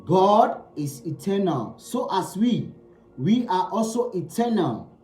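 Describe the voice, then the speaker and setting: A man's voice: high voice, sing-song, takes it slowly, a little mellow, reverberant, faint music behind. A man giving a spiritual teaching talk. He speaks emphatically, repeating key phrases and asking rhetorical questions.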